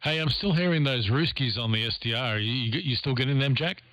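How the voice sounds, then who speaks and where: A man's voice: medium pitch, a little fast. A man working as an amateur radio operator, talking casually in a two-way contact over shortwave radio. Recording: very noisy shortwave radio audio.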